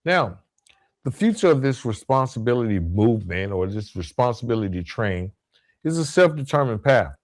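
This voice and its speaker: Man, medium pitch, podcast host, motivational monologue, emphatic, deliberate phrasing, rhetorical questions. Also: big pitch swings.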